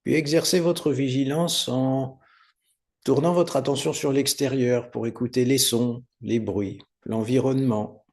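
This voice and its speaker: A man teaching guided meditation, giving unhurried, soothing step-by-step instructions with pauses. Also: mid-range voice, steady tone, a little mellow. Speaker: yoga nidra meditation teacher.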